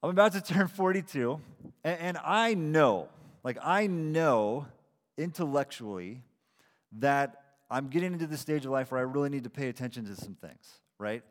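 A man's voice: fairly high pitch, lively intonation, normal speed. A man of about forty giving a casual, self-deprecating sermon as pastor, with frequent restarts. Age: 40s. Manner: conversational, self-deprecating preaching with repeated starts. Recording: long hall reverb.

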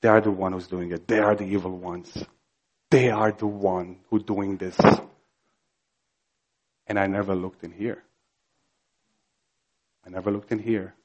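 A man's voice: deep voice, flat delivery, poor audio. Earnest Croatian-accented preacher man. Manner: earnest, slow and emphatic, rising to fervent. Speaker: pastor. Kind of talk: sermon.

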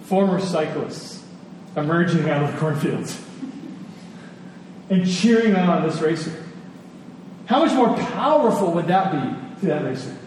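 A man giving a sermon in a conversational way, telling personal stories with emphatic repetition and pauses. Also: a little high, sing-song, darkish tone. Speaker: preacher. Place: large reverberant room with an audience. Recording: echoey hall, distant, faint noise.

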